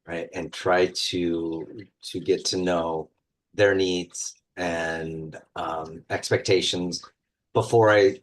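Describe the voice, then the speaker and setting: Man, very low voice, instructor on a panel, casual and thoughtful. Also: fairly even intonation, takes it slowly, poor audio. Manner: conversational, thoughtful, many fillers. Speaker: university instructor. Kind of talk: panel discussion.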